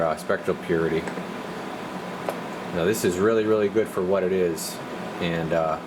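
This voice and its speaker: A man's voice: low-pitched, noisy background. A man working as a radio repair technician, casually demonstrating equipment in his garage workshop and rambling as he works. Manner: rambling, hands-on, stops mid-sentence while working.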